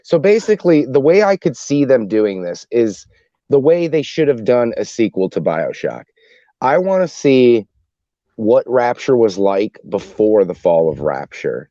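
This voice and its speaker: A man on a podcast panel sharing opinions casually in a halting, rambling way, often rephrasing himself. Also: medium pitch, big pitch swings, warm tone, no room sound. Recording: dull lo-fi dry voice track.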